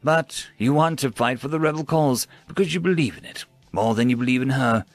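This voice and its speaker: A man voicing a calm, shrewd woman prisoner in a story, soothing and gently probing. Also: mid-range voice, brisk, dry recording, soft background music, close to an everyday voice. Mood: pointed, persuasive.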